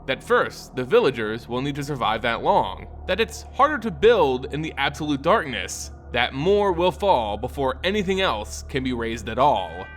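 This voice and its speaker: A man narrating a scripted fantasy story in a dramatic, solemn and measured storytelling manner. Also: mid-range voice, big pitch swings, soft background music. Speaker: lore narrator.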